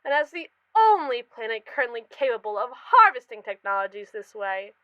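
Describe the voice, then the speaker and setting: A woman's voice: a little high, very animated, fairly quick, dry recording, muffled recording. A woman playing a pompous, callous space mogul in a scripted, theatrical ASMR roleplay monologue, condescending to the colonists beneath her. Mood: grandiose. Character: haughty, callous female space mogul lording over colonists.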